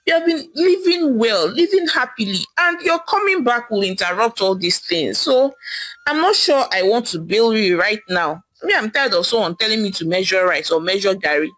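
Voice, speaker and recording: A woman playing a carefree Nigerian wife who is in no hurry to help her husband, with a teasing, offhand lilt. She sounds a little higher than the narrator, with lively pitch, in a dry room. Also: normal speed, no room sound, poor audio. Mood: nonchalant. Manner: nonchalant, teasing.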